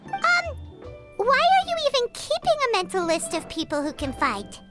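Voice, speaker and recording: A woman voicing a tiny, perky, childlike fairy companion in a video game, excitable and fond of money. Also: moderate pace, heavily acted. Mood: puzzled, excited. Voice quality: squeaky, childlike. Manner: perky, speaks of self in third person. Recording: music playing behind the voice.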